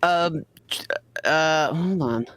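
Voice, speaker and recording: A casual, mid-pitched speaker co-hosting a story reading. The talk is informal and conversational, recorded close and dry with little room sound. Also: extremely slow, a bit bright, no room sound. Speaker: co-host assigning parts in a reading show. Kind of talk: casual chat.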